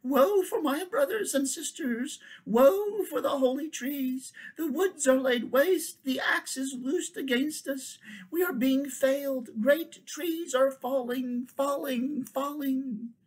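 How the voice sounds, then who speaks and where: A man voicing a tall female tree spirit who cries out in high, anguished wails. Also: takes it slowly. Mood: wailing. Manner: wailing, crying out in high anguished calls.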